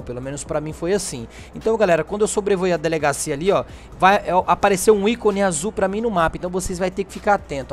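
A Brazilian man giving casual game tutorial commentary, informal, often tagging sentences with little check-in questions. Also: on the high side. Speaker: gaming video host. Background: background music.

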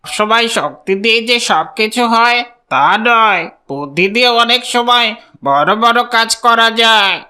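Woman as a bright, plucky cartoon goose. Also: fairly high pitch, animated, unhurried, clear, resonant tone. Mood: taunting. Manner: emphatic, scolding, preachy. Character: plucky, defiant goose leading a flock, lecturing and clever. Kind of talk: Bengali children's cartoon voice acting.

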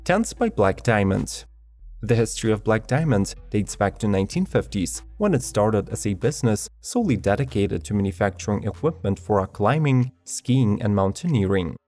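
A man reading a scripted product review as a voice-over, in an even, list-like manner. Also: fairly low pitch, no room sound. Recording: voice-over with faint background music.

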